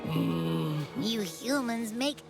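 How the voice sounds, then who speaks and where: A woman's voice: medium pitch, animated, very slow, vocal fry, music in the background, full character voice. A woman voicing a small, sleepy non-human companion in a video game, grumbling bluntly about humans in a childlike way. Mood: puzzled, drowsy.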